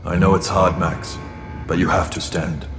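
An actor playing a grave, commanding mentor in a sci-fi audio drama, terse and solemn. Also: male voice, deep voice, flat delivery, moderate pace. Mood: steadying. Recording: reverberant, with music underneath.

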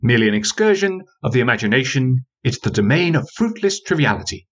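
A man voicing a sharp, skeptical young woman lawyer who speaks in a cutting, coolly dismissive way. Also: mid-range voice, sing-song, quick pace, slightly rough, highs cut off, pitched above the performer's own voice.

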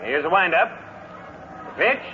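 High, excited baseball radio announcer. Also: male voice, takes it slowly. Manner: dramatic, suspenseful, folksy. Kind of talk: 1940s radio sports commentary acting. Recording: muffled, noisy 1940s radio recording.

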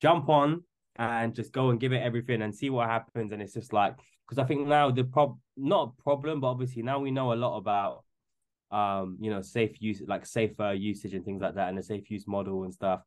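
A man's voice: mid-range voice, steady tone, fairly quick. A man in his twenties in casual podcast chat, rambling and often stumbling over repeated words as he starts a thought. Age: 20s. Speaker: podcast guest.

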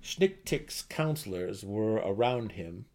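A man's voice: fairly low pitch, expressive, takes it slowly, a little mellow. A man reading a fantasy novel aloud as narrator, in a dramatic, scripted audiobook style.

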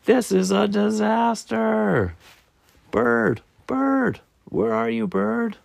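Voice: mid-range voice, expressive, very slow, vocal fry, dry recording, pitched above the performer's own voice, full character voice. A reader voicing a frantic, suspicious squirrel in a picture book, melodramatic and exclaiming. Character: frantic, suspicious squirrel fretting over stolen leaves. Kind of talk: picture-book read-aloud.

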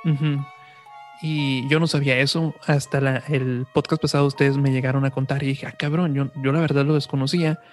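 A man's voice: mid-range voice, little pitch movement, brisk, a little mellow. A man with a Mexican accent hosting a podcast, talking and reading in an informal way with self-corrections. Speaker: podcast host. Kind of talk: casual chat and reading aloud. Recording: music behind the voice. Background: music.

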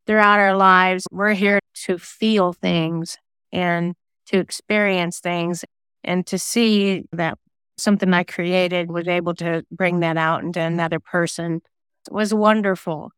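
A woman's voice: lowish voice, fairly bright tone, clear, resonant tone, dry recording. A woman giving reflective, earnest answers as a guest in a conversational interview. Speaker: guest, singer-songwriter and novelist.

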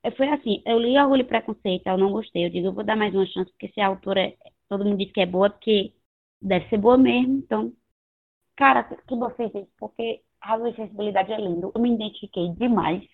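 Over a tinny, phone-like line, a woman with a Northeastern Brazilian accent co-hosts a casual book podcast. Her voice is hoarse and gravelly, and she talks in a blunt, slangy, sweary way. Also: medium pitch, quick pace. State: hoarse from two days of partying. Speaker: podcast co-host. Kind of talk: casual chat about books.

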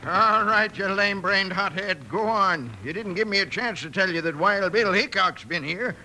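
Very piercing high voice, crotchety old frontier sheriff, folksy, indignant, radio western. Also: male voice, moderate pace, bright, forceful tone, low-quality recording. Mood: cantankerous. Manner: folksy frontier drawl, cantankerous. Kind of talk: 1950s western radio drama acting.

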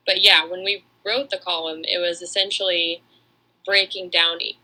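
A woman's voice: on the low side, level tone, moderate pace, bright, forceful tone, low-quality recording. A woman from Atlanta in the United States chatting casually and a little self-deprecatingly as a podcast co-host. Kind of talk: casual chat.

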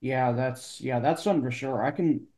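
A man hosting a casual interview podcast, speaking haltingly as he searches for his words. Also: medium pitch, mellow. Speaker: podcast host.

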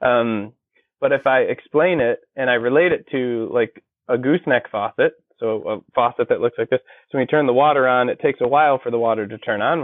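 A man with a gravelly, fry-edged voice, heard over a thin, phone-like line with no room sound. He explains technical ideas patiently and reaches for everyday comparisons. His delivery is casual and conversational. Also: mid-range voice, no echo. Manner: explanatory, builds plain analogies. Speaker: guest, engineer and copywriter. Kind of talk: conversational interview. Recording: dry tinny phone-like line.

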